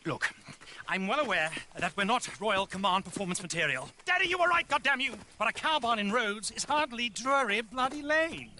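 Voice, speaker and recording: Shrill, pompous British showman. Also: very animated, normal speed, sharp tone, exaggerated voice. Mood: exasperated, theatrical. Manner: theatrical, pompous, exclamatory. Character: flamboyant, pompous male showman posing as a lady. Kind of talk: western video game acting.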